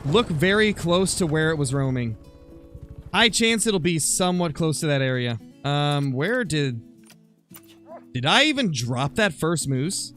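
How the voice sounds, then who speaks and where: A man's voice: a little high, lively intonation, a little slow, fairly bright tone, soft background music. A man streaming a game, chatting casually and thinking aloud as he answers viewers. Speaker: live streamer, gamer. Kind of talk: casual livestream chat over gameplay, answering viewer comments.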